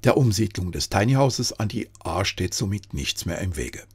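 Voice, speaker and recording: A man reading scripted documentary narration in a formal, earnest, measured manner. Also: deep voice, big pitch swings, darkish tone, breathy edge. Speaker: narrator and filmmaker. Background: background music.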